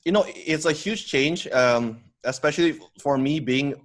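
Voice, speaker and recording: A man's voice: on the high side, a little slow. A man in his thirties from Indonesia, a guest telling his story in a casual interview, hesitant with many fillers and restarts. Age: 30s. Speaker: podcast guest, company co-founder.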